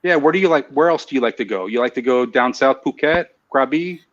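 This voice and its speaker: A man's voice: medium pitch, animated, brisk, a bit bright. Easygoing male host on a muffled line. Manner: easygoing, chatty, with hesitant restarts. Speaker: host of a live show. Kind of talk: casual interview chat.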